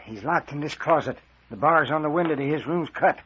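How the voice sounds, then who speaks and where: A dull old radio recording features an agitated elderly male mayor. His voice is high and husky, with rustic, urgent phrasing fit for a mystery serial. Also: a little fast, a bit bright.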